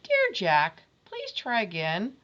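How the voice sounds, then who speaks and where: A woman's voice: mid-range voice, huge pitch swings, slow pace, fairly bright tone, highs cut off, a little hiss or hum, heavily acted. A woman reading as a kind schoolteacher. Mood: gentle. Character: kind female schoolteacher writing notes.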